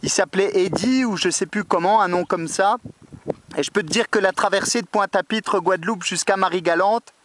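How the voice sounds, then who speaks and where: A man's voice: high voice, a little fast, noisy background. A man chatting casually as an amateur radio operator, repeating himself and tagging his phrases. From metropolitan France. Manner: repetitive, punctuates phrases with tag words. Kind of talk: casual radio contact chat. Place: beside his own radio station, filming it.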